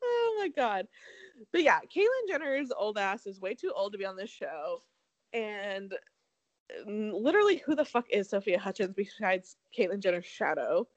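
Lively, blunt young woman gossiping. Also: mid-range voice, normal speed, no echo, muffled recording. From Connecticut. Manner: blunt, catty, laughs often, lots of ums and likes. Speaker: podcast co-host. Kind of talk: casual gossip chat.

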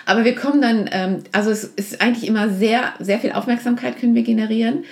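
A woman's voice: a little high, fairly even intonation, fast, creaky, darkish tone. A woman being interviewed on a podcast about her outreach work, speaking earnestly with many fillers and restarts. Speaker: guest from a support organization.

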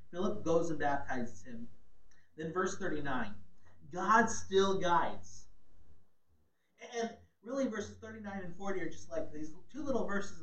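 A man's voice: sits high, roomy sound, far from the mic, slight background noise, rough recording. A man preaching a sermon as pastor, with an emphatic delivery that rises sharply on key points. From Ghana.

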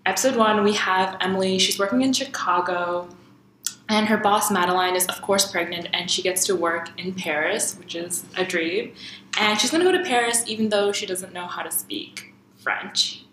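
A young woman co-hosting a casual TV recap, chatty and quick to laugh. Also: mid-range voice, fairly bright tone, a little hiss or hum. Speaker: co-host. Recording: roomy echo.

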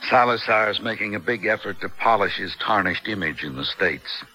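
An actor playing a blunt, principled newspaper reporter in a 1970s radio drama, matter-of-fact in delivery. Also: male voice, fairly low pitch, animated, normal speed, sharp tone, low-quality recording. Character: hard-nosed, principled American newspaper reporter.

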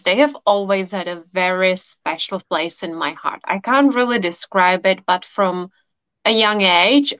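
Woman guest, unhurried and heartfelt, on a dry close recording. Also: fairly low pitch, fairly bright tone, smooth, no room sound. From Czech Republic. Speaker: podcast guest. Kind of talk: warm storytelling chat. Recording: dull dry lo-fi audio.

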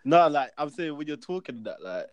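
A young man, hosting a podcast with slow, slangy, laughing banter. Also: fairly high pitch, big pitch swings. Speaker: podcast host. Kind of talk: casual chat.

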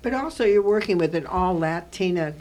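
A woman's voice: on the low side, lively intonation, a little fast, a little mellow, low-quality recording. A woman hosting a conversational radio interview in a studio, effusive and repeating words for emphasis.

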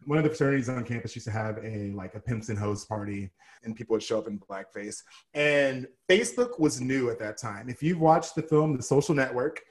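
Animated, playful man in his thirties. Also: mid-range voice, a little fast. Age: 30s. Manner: animated, playful storyteller with sassy asides. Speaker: podcast guest. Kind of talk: casual interview chat.

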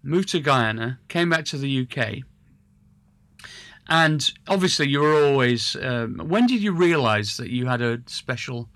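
A man's voice: mid-range voice, animated, measured pace, a bit bright, no room sound. Rambling, hesitant man interviewing. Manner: rambling, hesitant, self-correcting. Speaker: interviewer and musician. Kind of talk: casual conversational interview.

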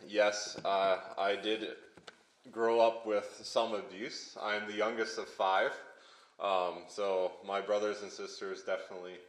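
Low-voiced young man preaching in echoey hall. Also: flat delivery. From Pennsylvania, USA. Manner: halting, many fillers and restarts. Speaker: pastor. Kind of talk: sermon testimony.

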